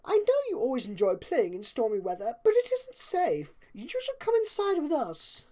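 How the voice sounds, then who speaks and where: Woman doing a high, prim, fussy lady unicorn. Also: huge pitch swings, normal speed, warm tone. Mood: chiding. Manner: prim, chiding, genteel phrasing. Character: refined, fussy female unicorn, vain but caring.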